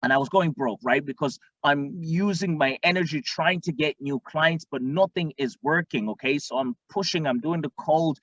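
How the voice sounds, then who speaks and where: A man from Tanzania, a marketing coach, teaching in an energetic way and often checking in with the listener. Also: on the high side, moderate pace, few pauses, no echo. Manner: energetic, repeats "okay?" often. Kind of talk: instructional screen-share talk. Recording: dull lo-fi dry sound.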